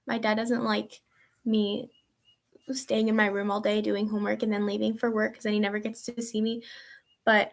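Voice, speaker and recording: A teenage girl in a casual vlog, answering viewer questions in a chatty, rambling way with lots of ums and likes. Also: fairly high pitch. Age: teens. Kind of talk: casual chat.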